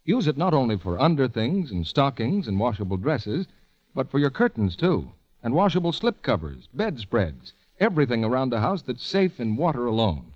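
A man's voice: mid-range voice, sing-song, moderate pace. A man working as a radio announcer, reading scripted 1940s advertising copy on a dull vintage broadcast.

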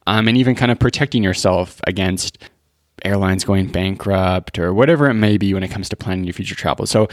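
A man co-hosts a podcast in a loose, rambling way, with lots of fillers. His voice sits fairly low and the sound is close and dry. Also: dry recording. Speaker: podcast co-host. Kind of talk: casual podcast chat.

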